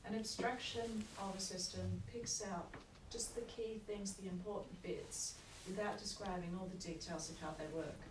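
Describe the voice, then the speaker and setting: A woman lecturer giving a university lecture with a croaky voice, explaining at a measured pace with frequent checks like "okay". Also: mid-range voice, steady tone. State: croaky, recovering from lost voice. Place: lecture hall. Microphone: room recording from far off. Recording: echoey hall, distant mic, noisy.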